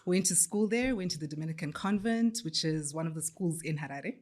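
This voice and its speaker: A woman from Zimbabwe, a lawyer, speaking as a guest in a relaxed podcast interview; composed and quick to laugh. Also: low-pitched. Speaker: guest, forensics and investigations lawyer. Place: studio in Johannesburg.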